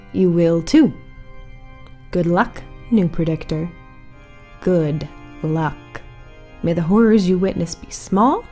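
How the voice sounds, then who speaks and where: A woman playing an eerie, gracious small-town radio host, reading horror-comedy news in a measured, ominous deadpan. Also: fairly low pitch. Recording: music bed under the voice. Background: music.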